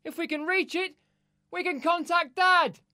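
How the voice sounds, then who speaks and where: A man voicing an eager, resourceful boy in a dramatised audiobook, with an excitable, expressive delivery. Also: extremely high pitch, a little slow, dry recording, studio quality, full character voice. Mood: earnest, determined.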